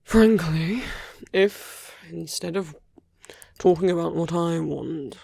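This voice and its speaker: A man playing a soft-hearted villain wooing his heroic enemy in an intimate ASMR roleplay, speaking slowly and deliberately in a breathy hush that often slips into whispers. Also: fairly high pitch, dry recording, studio quality, full character voice. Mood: tender, hesitant. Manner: slow, deliberate, hushed, often slipping into whispers. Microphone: close, dry microphone.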